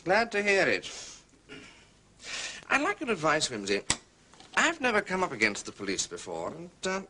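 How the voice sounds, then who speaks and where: An actor playing a blunt, slightly uneasy gentleman witness, clipped and defensive, in a period detective drama. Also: fairly high pitch, huge pitch swings, moderate pace, a little hiss or hum, full character voice.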